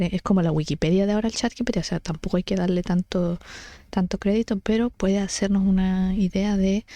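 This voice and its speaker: A woman's voice: mid-range voice, warm tone, no echo. A woman chatting casually as she explains things, thinking aloud and correcting herself in an informal way. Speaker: book study vlogger.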